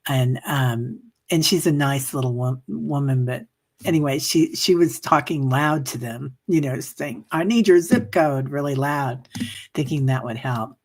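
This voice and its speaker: A woman hosting a livestream, chatting casually and rambling with many fillers, reading out viewer comments, coughing and a bit hoarse. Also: extremely low pitch, unhurried, darkish tone. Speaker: livestream meditation host. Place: home livestream.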